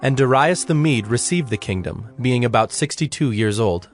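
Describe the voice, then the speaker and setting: A man's voice: medium pitch, animated, moderate pace. Male scripture narrator over faint music.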